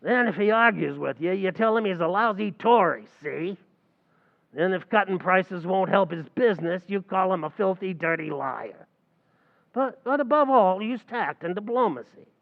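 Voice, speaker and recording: An actor playing a scheming, wisecracking tavern manager in a 1940s-style radio comedy. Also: male voice, high voice, animated, moderate pace, gravelly, narrow, tinny audio. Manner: wisecracking, mangles grammar. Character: fast-talking scheming male tavern manager.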